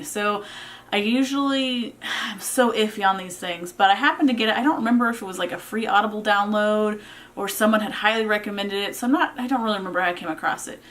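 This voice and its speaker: Female vlog reviewer, mid-pitched voice, conversational and unscripted, informal delivery that thinks out loud. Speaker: book reviewer, vlog host. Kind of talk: casual unscripted review talk to viewers.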